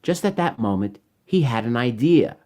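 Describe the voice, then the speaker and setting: A man reading his own rhyming children's story in an expressive, dramatic way. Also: mid-range voice, moderate pace.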